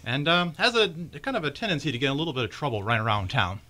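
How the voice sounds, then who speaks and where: A man's voice: medium pitch, sing-song, racing, pushed and bright. A man speaking casually as a cast member being interviewed on radio.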